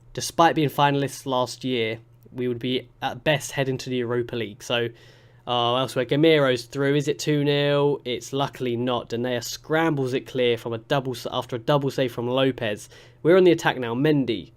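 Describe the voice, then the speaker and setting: Young male gamer, stuffed-up nose from a cold, chatty excitable play-by-play, mid-pitched. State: congested with a cold. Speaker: gaming YouTuber. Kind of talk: live game commentary.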